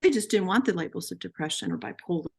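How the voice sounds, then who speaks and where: A woman in her sixties, a veteran therapist, chats in a lively way and acts out exchanges with her patients. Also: fairly low pitch, quick pace, creaky, husky. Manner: animated storyteller acting out her patients' lines. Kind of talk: casual professional discussion.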